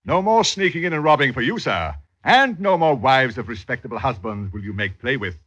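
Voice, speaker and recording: An actor playing a stern, jealous eighteenth-century London husband in old radio drama style, blustering in archaic phrases. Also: male voice, mid-range voice, expressive, brisk, a bit bright, slightly rough, dull audio. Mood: gloating, stern.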